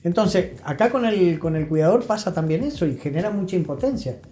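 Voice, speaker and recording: Older Argentine man with a mellow voice. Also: high-pitched, lively intonation, brisk. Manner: emphatic, didactic, repeats phrases. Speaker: guest therapist. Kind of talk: reflective interview talk. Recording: roomy echo, faint music.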